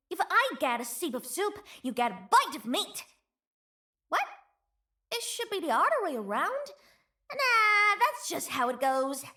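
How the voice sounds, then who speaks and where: A woman's voice: extremely high pitch, pushed and bright, exaggerated voice. A woman voicing a playful, greedy young female chief in a game, cheeky and teasing. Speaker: voice actor. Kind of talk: game character voice lines.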